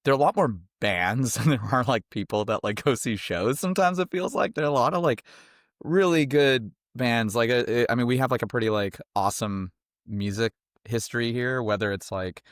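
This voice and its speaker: A man's voice: mid-range voice, lively intonation, fairly quick, no echo, clean studio sound. A young man from a band, a guest on a podcast, talking in a rambling, laid-back way full of fillers. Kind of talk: casual interview chat.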